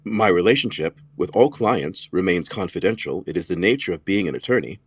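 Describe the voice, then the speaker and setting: An actor playing an unflappable, smug 1920s lawyer with an officious, pedantic manner and slightly husky voice in an audio drama. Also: male voice, low voice, lively intonation, fairly quick, dry recording. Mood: officious, firm. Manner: theatrical, officious, dryly pedantic. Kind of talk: period audio drama acting.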